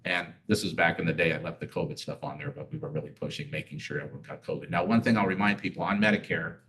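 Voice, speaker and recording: A man's voice: rumbling low, fairly even intonation, fast, a bit bright, recorded from a distance. A middle-aged man giving a casual community class, talking conversationally and mixing in personal stories and rhetorical questions. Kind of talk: casual educational talk. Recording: roomy echo, voice off-mic.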